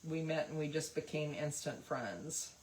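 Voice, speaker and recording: A woman's voice: sits low, unhurried, darkish tone, slight background noise, low-quality recording. A woman hosting a craft livestream, chatting casually and rambling with lots of fillers while she paints. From West Virginia, USA.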